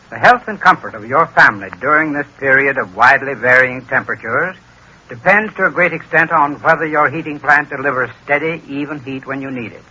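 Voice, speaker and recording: A man's voice: a little high, bright, forceful tone, breathy edge, rough recording. A man giving a formal, instructive scripted advertising talk in 1930s radio style as a heating expert.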